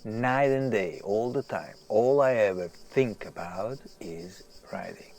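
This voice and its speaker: A slow-talking, self-deprecating male writer. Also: on the low side, big pitch swings, darkish tone, heavily acted. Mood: wound up. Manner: hesitant, halting, repeating himself. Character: agitated, self-deprecating famous male writer, obsessed with work. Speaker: actor in an audio drama. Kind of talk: naturalistic audio drama acting.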